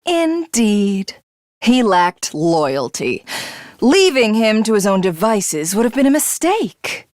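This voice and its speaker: A woman's voice: medium pitch, big pitch swings, slow, a little mellow, no echo, studio quality. A woman voicing a haughty alien villainess in a video game, grandiose, theatrical and condescending. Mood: condescending, cruel. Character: haughty female alien commander craving godhood.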